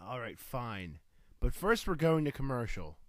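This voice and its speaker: Actor as a flustered, animated radio interviewer. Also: male voice, mid-range voice, a little slow, dry recording. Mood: weary composure. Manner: stammering, incredulous, primly scolding. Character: flustered, easily offended male radio personality interviewing a guest. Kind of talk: comedic radio theatre interview.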